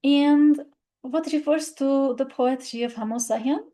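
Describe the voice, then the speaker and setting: A woman's voice: sits high, mellow. Reflective woman, Armenian native speaker. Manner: halting, many fillers and restarts; reflective, thoughtful. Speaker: literature video presenter. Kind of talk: unscripted literary talk.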